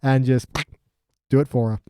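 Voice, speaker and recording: A man's voice: mid-range voice, steady tone, moderate pace, dark tone, no echo, clean studio sound. A man co-hosting a podcast, recapping TV in casual, jokey banter and slipping into mimicry in asides. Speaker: podcast co-host.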